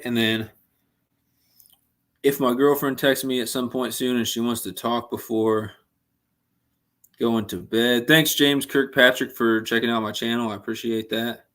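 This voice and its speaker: Young guy on a fishing livestream, voice getting a bit worn out, just chatting with chat. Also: medium pitch. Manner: chatty, reads out and answers chat messages. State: losing his voice a little. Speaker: livestream host.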